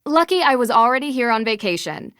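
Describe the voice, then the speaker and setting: A woman's voice: on the high side, big pitch swings, a little fast, fairly bright tone, smooth, no room sound. An actress playing a curious, confident young female detective in a video game, inquisitive and a little dry in manner. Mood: friendly.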